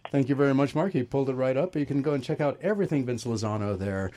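A man hosting a talk radio show, asking his guest questions in a casual, halting way with lots of fillers. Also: medium pitch, warm tone.

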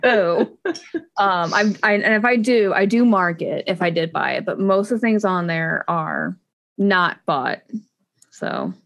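Gravelly-voiced woman chatting about books. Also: lowish voice, lively intonation, measured pace, a bit bright. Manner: chatty, rambling, many fillers and restarts. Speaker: podcast co-host. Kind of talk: casual chat about books.

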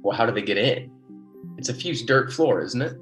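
An actor playing an earnest, wryly patient colony biologist in an American golden age radio drama, speaking in a measured, explanatory way. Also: male voice, mid-range voice, animated, fairly bright tone, faint music behind, lightly acted. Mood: practical. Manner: measured clinical dictation alternating with easygoing explanation.

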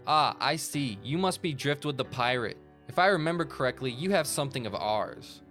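A man performs a giant monster Santa, a gangster boss from a storybook adventure. The voice booms, lifted and loud, mock-jolly on the surface and menacing underneath, over faint background music. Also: animated, normal speed, close to an everyday voice. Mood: knowing. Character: huge eight-foot alien bug gangster boss dressed as Santa.

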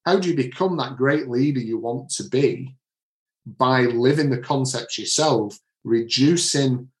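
Man, Manchester accent, author being interviewed, earnest with frequent restarts, middle pitch, roomy sound. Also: a little slow. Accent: Manchester English. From Manchester area, England. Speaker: author and leadership coach. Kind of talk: podcast interview answers.

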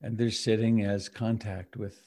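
A man leading a guided meditation as its teacher, speaking in a contemplative, measured way with long pauses. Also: lowish voice, flat delivery, warm tone. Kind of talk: guided meditation, with sung and chanted refuges.

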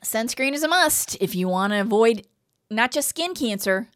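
A woman's voice: fairly high pitch, expressive, normal speed, fairly bright tone, no echo, studio quality. A woman in her fifties co-hosting a podcast, chatting casually and reading from articles. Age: 50s. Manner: chatty, reads quotes aloud then comments. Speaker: podcast co-host.

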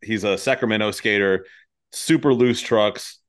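Low-voiced American man, blunt and slangy. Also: measured pace. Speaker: podcast conversationalist. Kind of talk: casual chat.

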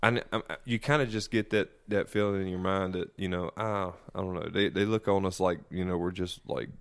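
A man with a Southern accent tells a loose, profane story in casual chat, often stopping to restart. His voice sits very low, with a gravelly fry that runs through it. He talks in a relaxed way and curses freely. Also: a little fast. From eastern North Carolina. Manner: rambling, profane, animated storyteller.

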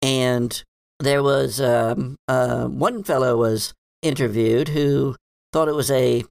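Man acting bookish librarian, creaky voice, halting and rambling, earnest, tabletop roleplay, dry sound. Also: mid-range voice, fairly even intonation, darkish tone, no echo. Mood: hesitant, recounting. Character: hesitant, bookish librarian, earnest and mildly dismissive. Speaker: game master. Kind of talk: improvised in-character tabletop roleplay.

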